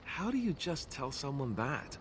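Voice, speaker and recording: An actor playing an awkward, self-deprecating young warrior and reluctant heir in a fantasy video game, speaking in a hesitant, earnest, apologetic way. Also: male voice, medium pitch, sing-song, moderate pace, a little hiss or hum, natural voice. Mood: sheepish.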